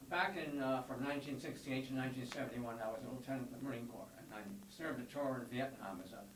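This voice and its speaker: An older man, a volunteer tutor, speaking in a measured way at a school board meeting and clearing his throat often. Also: mid-range voice, recorded from a distance. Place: meeting room. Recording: echoey room, voice from afar, faint hum.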